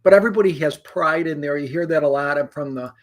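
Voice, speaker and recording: A man's voice: fairly high pitch, quick pace. An older man from Chicago co-hosting a casual chat show, rambling with fillers and restarts. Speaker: co-host.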